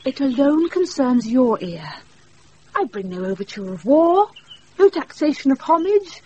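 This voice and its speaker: A British actress playing a quick-witted young woman disguised as a saucy page boy in a Shakespeare radio play, recorded off a wireless. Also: high voice, big pitch swings, darkish tone, breathy edge, muffled recording, full character voice. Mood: bold, pleading. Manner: saucy, theatrical, breaking into laughter.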